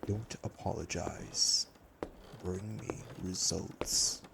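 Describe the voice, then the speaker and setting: A man playing a cold, commanding vampire elder brother in an audio roleplay, speaking formally and sternly with a gravelly voice. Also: low-pitched, fairly even intonation, very slow, very noisy, heavily acted. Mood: stern, angry. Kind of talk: audio roleplay drama acting.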